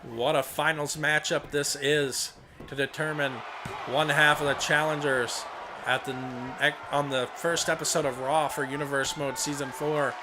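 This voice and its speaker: A young man calls the action of a wrestling video game in a voice hoarse and worn from a cold, sitting a little high for a man. He gets excited and shouts on the big moves. Steady background noise sits under the home recording. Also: unhurried. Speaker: let's play commentator. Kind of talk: play-by-play wrestling commentary over a video game.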